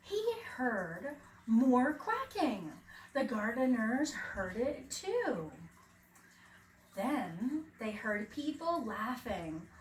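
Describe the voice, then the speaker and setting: Very slow, high-pitched woman reading to children. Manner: expressive, dramatic emphasis, asks young listeners questions. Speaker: preschool teacher reading aloud. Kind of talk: children's picture book read-aloud. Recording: roomy, distant mic, faint background noise.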